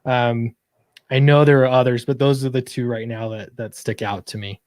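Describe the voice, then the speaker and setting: A man's voice: medium pitch, steady tone, moderate pace, a little mellow, no echo, studio quality. A man from Utah chatting casually as a guest comic creator. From Utah, United States. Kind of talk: casual chat.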